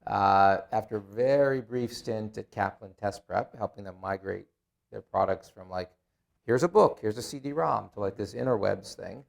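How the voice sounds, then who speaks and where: A middle-aged man with an Israeli accent talks in a low, gravelly voice. He tells his story in a relaxed interview, with dry, self-deprecating asides. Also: normal speed. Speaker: guest. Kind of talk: casual interview.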